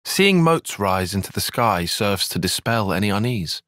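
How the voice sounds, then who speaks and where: An actor playing an earnest, cerebral young soldier and tactician in a video game, with a British accent, articulate formal phrasing and a slightly husky voice. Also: male voice, fairly low pitch, big pitch swings, moderate pace, a bit bright, dry recording, clean studio sound. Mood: measured, analytical. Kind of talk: scripted video game cutscene acting.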